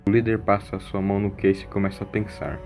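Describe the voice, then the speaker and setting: A man's voice: lowish voice, little pitch movement, quick pace, creaky, darkish tone. A man reading scripted narration for an audio drama in a storytelling style. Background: faint music.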